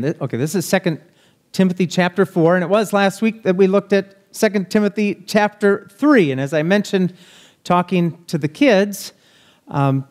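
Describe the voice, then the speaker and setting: A man in his thirties preaches from the pulpit of a church sanctuary, his voice sitting a little high for a man. He reads scripture aloud in measured phrases, then turns to plain, conversational explanation, recorded through a pulpit microphone. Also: a little mellow. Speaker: pastor. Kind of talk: sermon. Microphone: pulpit microphone, filmed by a far camera.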